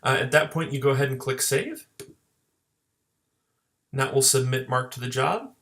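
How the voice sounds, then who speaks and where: Man, creaky voice with vocal fry, software trainer, plain step-by-step tutorial narration, screen-recording voice-over. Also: medium pitch, lively intonation, normal speed, slight background noise.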